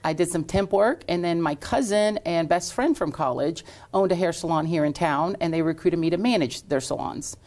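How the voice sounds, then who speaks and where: A middle-aged woman with a somewhat low voice, chatting through her life story. She owns salons and answers loosely, with frequent ums and small restarts. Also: moderate pace, few pauses. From Lexington, Kentucky. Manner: warm storytelling with frequent fillers. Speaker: salon owner and entrepreneur. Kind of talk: casual interview answers.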